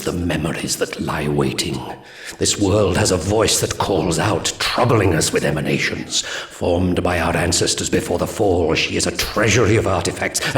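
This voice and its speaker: A man narrating solemn mission briefings in a game as a proud ancient alien commander, grave and measured. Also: sits low, reverberant. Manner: grave, measured, archaic phrasing.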